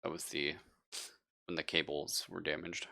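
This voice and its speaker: Low, bright-voiced man running a tabletop game. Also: little pitch movement, moderate pace, vocal fry. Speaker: game master. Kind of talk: improvised narration of a tabletop roleplaying game.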